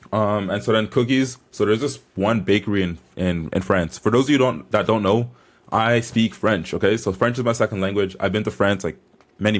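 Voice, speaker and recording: A young man vlogging as a bodybuilder, chatting casually to camera in a hyped, informal, slangy way. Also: lowish voice.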